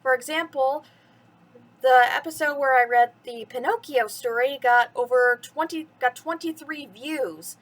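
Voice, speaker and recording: A woman's voice: high-pitched, a little slow. A woman hosting a bedtime story reading for children, chatty and addressing the young listeners directly.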